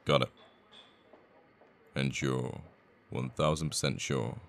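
A man acts out a cocky, menacing assassin boyfriend for an ASMR roleplay. His voice is extremely deep and full of gravelly fry. He murmurs unhurriedly, swearing and pausing as he goes. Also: flat delivery, heavily acted. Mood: cocky, irritated. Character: cocky young male assassin, flippant, menacing, secretly a devoted boyfriend. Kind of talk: intimate ASMR audio roleplay.

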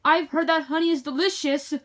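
A woman voicing an expressive, indignant young female sheep for a children's storybook. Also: sits high, fairly quick, pitched above the performer's own voice. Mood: greedy delight. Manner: exclaiming, expressive.

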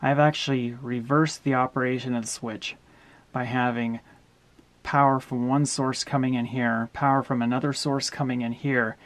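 A middle-aged man walking through a camper wiring upgrade step by step, in a steady, plain-spoken way, recorded in a small indoor space. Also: mid-range voice. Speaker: DIY camper owner explaining a wiring upgrade. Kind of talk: hands-on how-to walkthrough.

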